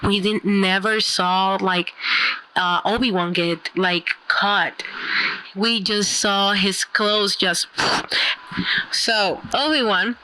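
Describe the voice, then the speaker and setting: A woman whose first language is Spanish, chatting casually, animated and giggly, often stumbling over her words. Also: mid-range voice, takes it slowly, rough recording. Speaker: podcast co-host. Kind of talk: casual chat.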